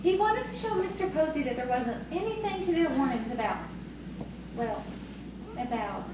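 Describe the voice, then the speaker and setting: Woman acting as coy young country girl, high swoopy voice, echoey and far from the mic. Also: sing-song, moderate pace. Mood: confiding. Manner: coy, earnest, storytelling. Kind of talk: audio theatre acting. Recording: distant, very echoey, noisy stage recording.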